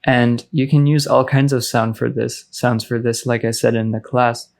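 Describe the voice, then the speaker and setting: A man narrating a casual software tutorial as a music production tutor, informal and explanatory in manner. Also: mid-range voice, little pitch movement, moderate pace, creaky.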